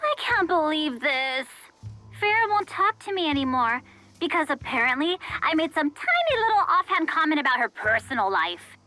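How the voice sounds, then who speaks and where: Very high, chatty game gal. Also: female voice, big pitch swings, sharp tone, heavily acted, poor audio. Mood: exasperated, gossipy. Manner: dramatic, snarky, drawn-out emphasis. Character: chatty, gossipy, exasperated young woman office worker. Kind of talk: animated video game voice acting. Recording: studio voice over background music.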